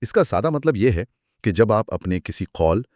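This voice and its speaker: A man with a fairly low voice explains material in a scripted dialogue. His intonation moves widely as he teaches, and the sound is close and dry, free of room echo. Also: big pitch swings, moderate pace, darkish tone. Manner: didactic, explanatory. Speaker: co-presenter explaining law in a lecture.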